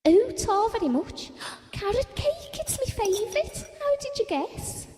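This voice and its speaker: An actress playing a chatty, down-to-earth working-class wife in an audio drama, speaking in a colloquial, confiding way. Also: female voice, extremely high pitch, sing-song, a little slow, warm tone, big-room sound, a little hiss or hum, heavily acted. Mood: delighted.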